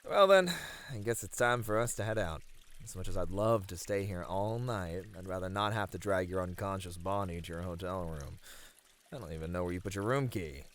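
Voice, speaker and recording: A man's voice: low-pitched, animated, brisk, fairly bright tone. A man acting an easygoing, friendly manager unwinding after work in an ASMR roleplay, casual and lightly flirty. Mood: teasing, concerned. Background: pouring, trickling water.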